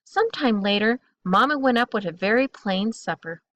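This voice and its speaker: A woman reading a children's bedtime story aloud in an expressive, deliberate way, drawing out key words. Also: a little high.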